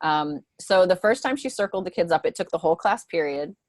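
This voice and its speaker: A woman's voice: fairly low pitch, animated, a little fast, darkish tone, no room sound. A woman presenting to teachers on a mentoring video call, talking conversationally with frequent "um" and "right?" asides.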